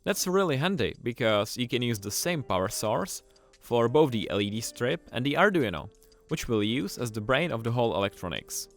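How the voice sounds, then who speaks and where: Lively male tutorial presenter over music. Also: medium pitch, moderate pace, hardly any pauses. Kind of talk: scripted tutorial narration.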